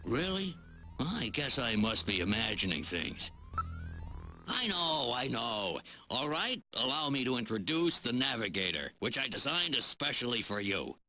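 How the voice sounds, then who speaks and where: An eccentric male engineer from a video game, rambling and folksy. His voice is piercingly bright and swings widely in pitch, with faint music behind it. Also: sing-song, a little slow, full character voice, poor audio. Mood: friendly, proud. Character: chatty, eccentric male engineer and gadget inventor.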